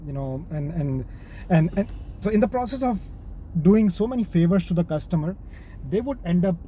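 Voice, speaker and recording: Pakistani-accented man in his 30s, fairly high voice, halting casual talk, car noise clearly heard behind. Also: mellow. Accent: Pakistani English. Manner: halting, with many restarts and pauses. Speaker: IT project manager vlogger. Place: inside his car while driving.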